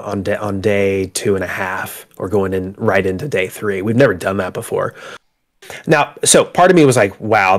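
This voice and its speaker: A man with a stuffy, congested voice from a cold speaks in a fairly low register. He talks as a guest in a casual interview, looping through fillers and false starts as he explains things. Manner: rambling, with many fillers and restarts. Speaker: tabletop game publisher, guest. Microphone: home microphone on a call.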